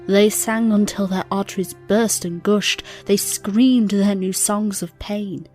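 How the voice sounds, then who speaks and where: A woman narrating a dark tale in a solemn, measured, theatrical storytelling style. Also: mid-range voice, fairly even intonation. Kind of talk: dramatic scripted story narration. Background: instrumental music.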